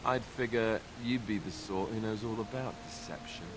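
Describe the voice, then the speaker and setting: Actor as wry young male warrior, slightly low voice, casual self-deprecating game dialogue, clear hissy background. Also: normal speed, natural voice. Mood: wry, sheepish. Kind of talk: video game dialogue acting. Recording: hissy, noisy game audio.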